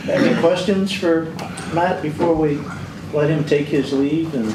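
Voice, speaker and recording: A man's voice: fairly high pitch, fairly even intonation, unhurried. A man serving as mayor and chairing a city council meeting, with wry, joking asides. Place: large echoey council chamber. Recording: echoey hall, distant mic, loud noise.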